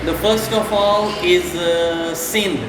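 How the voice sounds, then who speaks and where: A man from Pakistan with a Pakistani accent, whose first language is Urdu, chatting casually and haltingly in broken English and Indonesian with many fillers. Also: high-pitched, steady tone, reverberant, in a large hall, recorded from a distance, noisy background. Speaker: guest. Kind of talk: casual chat.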